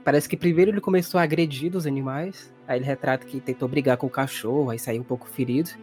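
A man's voice: medium pitch, steady tone, fast talker, darkish tone. Brazilian guy as game master, telling the horror story like he's just chatting, music playing behind. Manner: casual, conversational. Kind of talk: improvised narration of a tabletop horror game.

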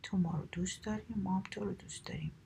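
A woman's voice: medium pitch, fairly even intonation, gravelly, mellow, a little hiss or hum, close to an everyday voice. A woman whispering as a wise old month spirit. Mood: calm.

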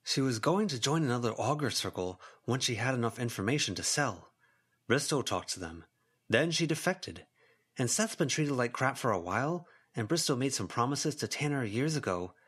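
Man reading as a wary, knowing teenage student, lively intonation. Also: mid-range voice, moderate pace, husky. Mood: wary, explanatory. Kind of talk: audiobook character dialogue.

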